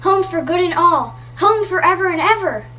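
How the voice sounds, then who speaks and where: A woman's voice: very high voice, expressive, normal speed, bright, forceful tone, voice pushed up, heavily acted. A woman voicing a tiny, affectionate little girl in a storybook, eager, childish and full of glee. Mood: gleeful, eager. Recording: clearly noisy audiobook recording.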